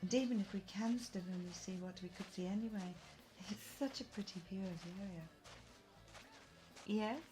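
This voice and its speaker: A woman playing a cheerful, gentle guide from a fantasy world in an immersive audio roleplay, speaking softly and eagerly to a companion. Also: mid-range voice, moderate pace, music in the background, lightly acted, low-quality recording. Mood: excited, eager.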